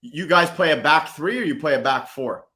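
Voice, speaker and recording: A man's voice: on the high side, normal speed, bright, forceful tone. A man hosting a podcast, chatting casually and affirming what he hears with short repeated agreements. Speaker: podcast host. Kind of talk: casual interview chat.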